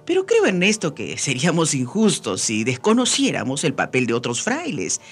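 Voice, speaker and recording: A man's voice: fairly high pitch, huge pitch swings, moderate pace, slightly rough, faint music behind. A man co-hosting a radio program, presenting scripted remarks and addressing listeners emphatically.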